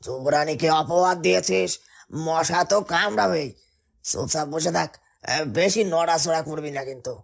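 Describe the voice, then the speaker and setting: Male actor for fairy-tale palace guard: creaky voice, stern, mocking, scolding. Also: a little high, unhurried. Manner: scolding, taunting. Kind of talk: Bengali cartoon fairy-tale voice acting.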